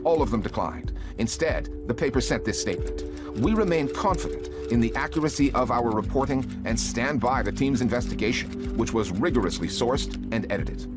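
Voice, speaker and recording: A man narrating a news documentary in a measured, pointed, drily ironic scripted read. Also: mid-range voice, expressive. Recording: voice-over over clear music.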